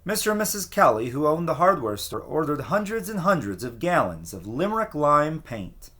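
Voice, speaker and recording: A man reading a children's picture book aloud as a teacher, with an expressive storytelling cadence. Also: a little high, moderate pace.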